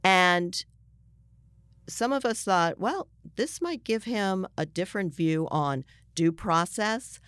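An American woman speaking conversationally as an interviewed journalist, explaining emphatically and often halting mid-sentence to rephrase. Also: medium pitch, expressive, fairly bright tone, clear, resonant tone, no room sound, studio quality.

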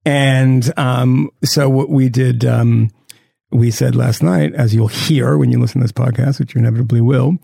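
A man with a gravelly, creaky voice at an everyday pitch hosts a podcast. He talks in loose, joking, rambling banter. His voice carries a rough fry. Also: fairly even intonation, normal speed, mellow. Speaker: podcast host. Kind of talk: casual chat.

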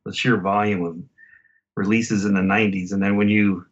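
A man's voice: on the low side, level tone, moderate pace. A middle-aged American man chatting casually as a podcast guest, in a rambling, conversational way. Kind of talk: casual chat, reminiscing. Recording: slightly dull, lo-fi connection.

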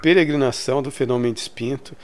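A man's voice: medium pitch, brisk, darkish tone, clean tone. A man with a Brazilian accent chatting casually and enthusiastically as a podcast host, in colloquial language. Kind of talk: casual chat about literature.

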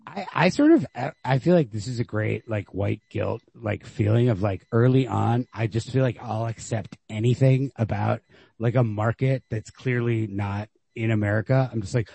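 Husky, creaky-voiced man chatting casually. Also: mid-range voice, moderate pace, warm tone, dry recording. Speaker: podcast host. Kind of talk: casual book discussion. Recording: lo-fi home podcast recording.

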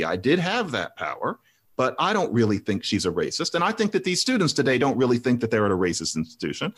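A man's voice: mid-range voice, fairly bright tone. A middle-aged man talking through ideas and telling a personal story in a conversational discussion, voice rising sharply when he makes a point. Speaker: commentator.

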